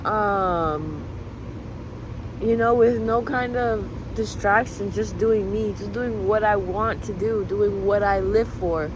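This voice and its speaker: A young woman whose first language is Spanish, thinking out loud in a rambling, reflective monologue full of fillers, recorded outdoors. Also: medium pitch, takes it slowly. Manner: musing, filler-heavy, drifting between thoughts. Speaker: vlogger. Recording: street and traffic noise behind.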